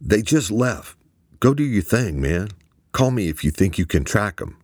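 A man voicing a frantic, excitable young man who shouts and swears into the phone. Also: lowish voice, a little slow, breathy edge, no room sound, clean studio sound, voice pushed up, exaggerated voice. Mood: agitated. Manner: loud, agitated, swearing.

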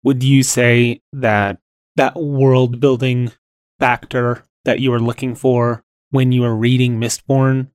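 A man's voice: medium pitch, little pitch movement, slow, darkish tone, smooth, no echo. A man in casual, informal conversation as a podcast co-host.

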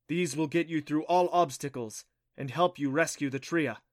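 A man voicing a solemn goddess who speaks prophecy in a heavy, slow, commanding voice for a fantasy story. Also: fairly high pitch, dry recording, studio quality, pitched above the performer's own voice, lightly acted. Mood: urgent warning. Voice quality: heavy voice, hissing.

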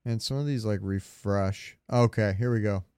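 A man's voice: fairly low pitch, moderate pace, warm tone, clear, resonant tone, no echo, clean studio sound. A man co-hosting a casual podcast, talking in a rambling, enthusiastic fan's way. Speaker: podcast co-host. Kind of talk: casual chat about games.

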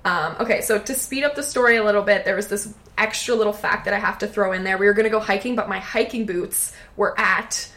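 Chatty woman with a lowish voice. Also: fast talker, few pauses, a bit bright. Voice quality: lowish, not high-pitched voice. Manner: chatty, lots of "like", candid and profane. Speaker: podcast co-host. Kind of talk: casual storytelling chat.

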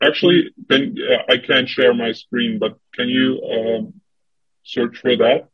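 A man's voice: medium pitch, fairly even intonation, measured pace, husky, no echo, highs cut off. A man chatting bluntly and hesitantly on a video call. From Germany. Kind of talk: casual chat on a video call.

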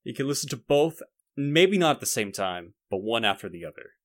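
A man's voice: mid-range voice, sing-song, a little fast, no room sound, clean studio sound. A man hosting a podcast in casual chat, talking in a rambling, hesitant way. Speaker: podcast host.

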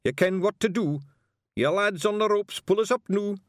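A pint-sized Scottish clan chief bellows orders in a gruff, raised voice, put on by a man. He sounds bossy and impatient, close and dry. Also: high voice, moderate pace, no room sound, voice pushed up, close to an everyday voice. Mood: commanding. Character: small rowdy male Feegle chief, bossy.

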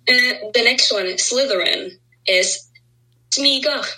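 Bright-voiced Norwegian woman, chatty. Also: fairly high pitch, slow pace, poor audio. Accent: southern Norwegian. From Norway. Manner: chatty, many fillers and restarts. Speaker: podcast co-host. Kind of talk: casual podcast chat.

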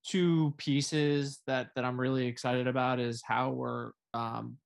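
Podcast cohost guy, medium pitch, crackly fry in his voice, talks in careful little chunks. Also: little pitch movement, slow pace. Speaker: podcast co-host. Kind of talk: casual discussion.

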